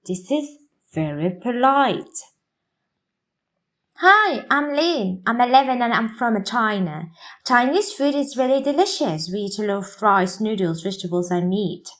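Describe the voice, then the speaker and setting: A woman English teacher reading a practice passage aloud for learners, enunciating deliberately word by word. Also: a little high, expressive, fairly bright tone.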